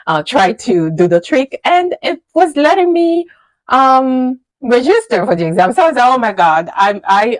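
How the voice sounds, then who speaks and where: A woman in her thirties whose first language is French, working as a registered nurse and telling her journey in a casual vlog, animated and emphatic, with many fillers and repeated words. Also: medium pitch, no room sound. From French-speaking country, immigrated to the USA. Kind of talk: casual personal storytelling to camera.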